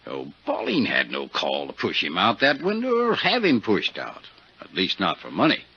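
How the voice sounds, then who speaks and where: Folksy older western sheriff, real deep, swoopy intonation, 50s radio play, dull old sound. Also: male voice, wildly swooping pitch, normal speed, pushed and bright, breathy edge, heavily acted. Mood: frank, reflective. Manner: folksy, drawling out hesitations. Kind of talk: 1950s radio drama acting. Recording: dull 1950s radio recording.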